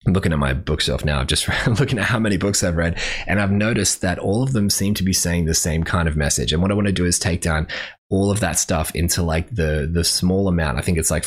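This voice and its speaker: Low husky Australian man. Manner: rambling, self-correcting, with frequent fillers. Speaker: podcast host. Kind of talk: casual solo advice talk. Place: room with a bookshelf.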